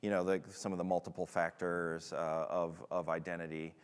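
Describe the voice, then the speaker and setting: A man's voice: sits low, level tone, moderate pace, clean tone. A man answering audience questions at a tech conference, in a loose, casual manner with a lot of fillers and restarts. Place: large conference hall. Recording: long hall reverb.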